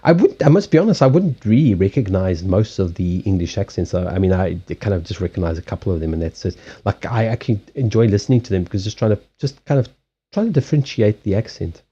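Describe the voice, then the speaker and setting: South African-accented male streamer, Portuguese native, rambling and amused, husky and mellow, slightly muffled mic. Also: on the low side, lively intonation, quick pace. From Mozambique. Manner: rambling, amused, self-correcting. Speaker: streamer doing card readings. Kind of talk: casual live-stream chat.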